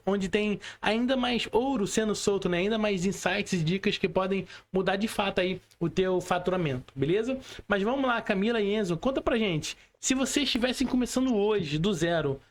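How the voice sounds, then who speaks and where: High-pitched Brazilian man hosting a podcast. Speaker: podcast host. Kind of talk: casual online interview hosting. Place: remote video call.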